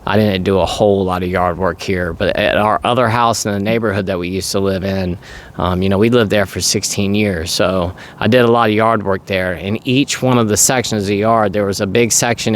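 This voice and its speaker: Middle-aged man, low raspy voice, allergy-worn throat, casual rambling monologue, clear background noise. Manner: rambling, conversational. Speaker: vlogger.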